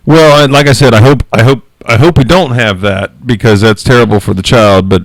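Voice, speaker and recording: A man with a loud voice hosting a casual podcast, speaking frankly with many self-corrections. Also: mid-range voice, fairly quick, dry recording, low-quality recording. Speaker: podcast host.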